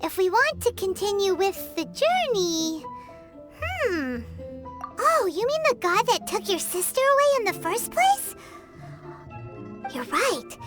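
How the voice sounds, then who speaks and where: Tiny excitable fairy girl, very high bubbly voice, lively pitch swings, loud music behind. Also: slow, long pauses, heavily acted. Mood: eager. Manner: refers to herself in the third person. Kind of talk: video game voice acting. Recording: game audio with loud background music.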